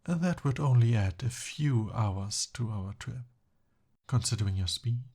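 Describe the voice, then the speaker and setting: A man plays a young prince held captive by a dragon, speaking in a formal, courtly way. His delivery is unhurried and a little hesitant, with a soft husk to the voice. He is smooth-talking and eager, in the style of an ASMR audio roleplay. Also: mid-range voice. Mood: pleading.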